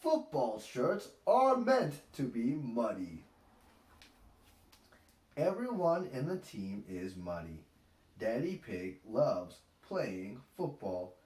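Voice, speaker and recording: Very slow, animated male storybook reader. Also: medium pitch. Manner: animated, deliberate delivery. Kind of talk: expressive children's read-aloud narration. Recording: echoey, roomy sound.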